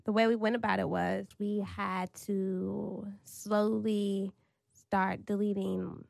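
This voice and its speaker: Young woman, gravelly fry, dry close sound, casual rambling chat, lots of fillers. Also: medium pitch, takes it slowly, dry recording. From North Carolina, raised in Washington DC. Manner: relaxed, rambling with many "like" fillers. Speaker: guest.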